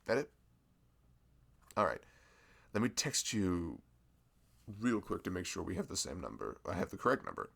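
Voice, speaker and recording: Man playing a deep-voiced, bashful, rambling young florist. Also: very animated, moderate pace, vocal fry, pauses often, a bit bright, exaggerated voice. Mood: relieved, friendly. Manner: rambling, self-deprecating, apologetic, flustered. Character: sweet, bashful, chatty young male florist with a crush. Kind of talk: casual ASMR-style audio roleplay spoken to the listener.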